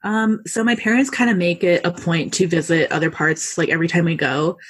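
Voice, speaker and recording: Young woman, American accent, breathy, husky edge, chatty, filler-heavy. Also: fairly low pitch, a little fast. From United States. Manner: chatty, lots of likes and ums. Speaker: interviewee. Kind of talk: casual interview.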